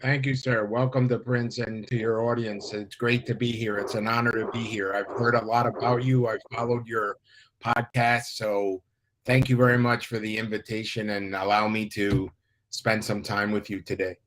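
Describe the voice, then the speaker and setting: A man's voice: on the low side, level tone, moderate pace, a bit bright, rough recording. A man in his fifties from the United States, a life coach guest speaking earnestly and inspirationally. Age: 50s. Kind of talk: interview talk.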